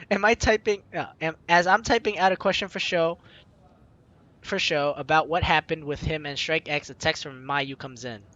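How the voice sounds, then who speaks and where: A man's voice: fairly high pitch, sharp tone, highs cut off. A young man hosting a let's play, reading game text aloud with informal, jokey asides. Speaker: host of a gaming let's play series. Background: game music.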